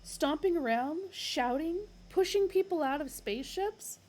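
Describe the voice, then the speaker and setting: A woman reading a story aloud and voicing a quick-witted man who talks briskly and coaxingly, pitching her voice higher than her own. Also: lively intonation, a little mellow, a little hiss or hum.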